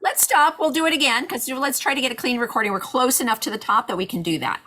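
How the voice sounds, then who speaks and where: A woman's voice: sits high, fast, pushed and bright. A woman directing a recording session with informal, unscripted instructions.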